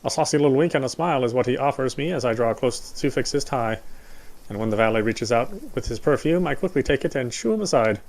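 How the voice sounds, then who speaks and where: A man's voice: mid-range voice, quick pace, a little mellow. A man doing a live dramatic reading of first-person story narration from a game. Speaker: let's player reading game narration aloud. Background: faint music.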